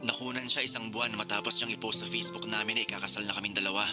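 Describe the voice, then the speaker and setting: A man's voice: fairly low pitch, steady tone, very fast, music in the background, close to an everyday voice. A man playing a young engaged man in a radio drama, bantering yet hesitant and conflicted. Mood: regretful, resigned.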